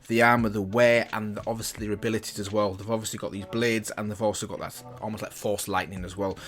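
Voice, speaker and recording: A man's voice: on the low side, fairly bright tone. A man giving casual, enthusiastic reaction commentary as a host, often repeating himself for emphasis. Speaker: host of a reaction and review channel.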